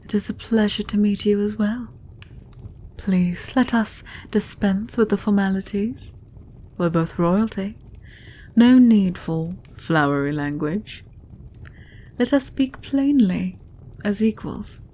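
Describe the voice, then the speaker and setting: A woman plays a fantasy queen with a soft, mellow voice. She is gracious yet shrewd, speaking in measured, formal sentences with regal composure, as in an audio drama. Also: medium pitch, slight background noise, close to an everyday voice. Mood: regal, composed. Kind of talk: fantasy audio roleplay acting.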